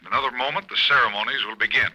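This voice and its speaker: A man playing an excited male radio announcer reporting from a helicopter in a 1940s radio drama, with brisk announcer delivery. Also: medium pitch, over a noisy crowd, close to an everyday voice, low-quality recording.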